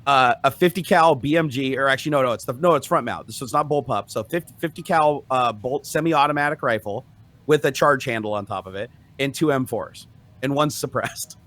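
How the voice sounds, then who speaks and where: A man's voice: mid-range voice, fairly quick, fairly bright tone. A man cohosting a podcast, talking casually and animatedly, swearing freely. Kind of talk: casual chat.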